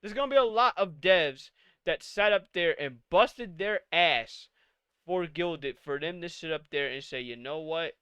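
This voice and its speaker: A man's voice: on the high side, unhurried, bright, forceful tone, smooth, dry recording. A man in his late twenties hosting a live-streamed podcast, ranting casually with profanity and exasperated rhetorical questions. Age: 20s. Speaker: podcast host.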